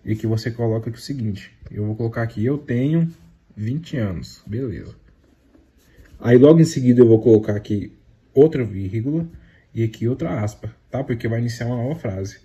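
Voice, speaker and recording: A young man with a Brazilian accent talks through basic code in a loose, chatty way, tacking little check-ins onto his sentences. His voice is deep-toned and very mellow, sitting at an ordinary pitch for a man. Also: fairly quick, breathy edge, dull audio. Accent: Brazilian Portuguese. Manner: repeats filler tags like "tá?" and "né?"; slangy, excitable outro. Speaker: beginner coding vlogger. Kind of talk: casual step-by-step tutorial.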